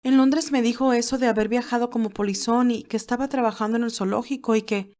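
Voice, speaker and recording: A woman's voice: fairly high pitch, fairly even intonation, fast talker, darkish tone, voice pushed up, lightly acted. A woman voicing an emotional, defensive young nurse in acted audiobook dialogue, earnest and emphatic. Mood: defensive, hurt.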